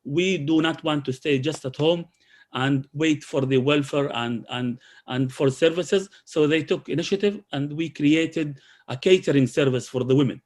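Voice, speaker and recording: A man from Israel who speaks with a halting manner, with many restarts and fillers, presenting his community work in a video call. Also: fairly high pitch. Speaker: community program coordinator.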